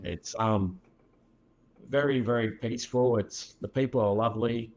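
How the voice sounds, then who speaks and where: An Australian man, a guest in a casual chat, with a sore, croaky throat and an easygoing, hesitant way of talking. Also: mid-range voice, moderate pace, darkish tone.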